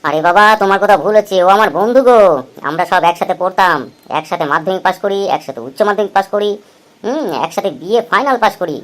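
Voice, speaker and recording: Casting: male actor as thirties husband for comedy cartoon, quick delivery, chatty, thrifty, coaxing and teasing. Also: sits high, a bit bright. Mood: excited, welcoming. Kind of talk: amateur comedy cartoon dialogue.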